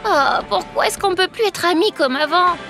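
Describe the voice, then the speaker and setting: A woman's voice: extremely high pitch, moderate pace, over music. A woman voicing Lady, a cheerful young woman who frets over her friendships, in a cartoon. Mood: fretful. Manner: bright, fretful, expressive.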